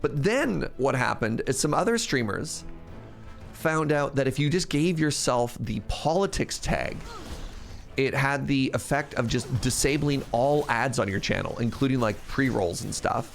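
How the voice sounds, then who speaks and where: A man's voice: medium pitch, expressive, a little slow, vocal fry, faint music behind. A man streaming a game, chatting casually and rambling between laughs, sounding sniffly and congested. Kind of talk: casual live-stream chat while gaming.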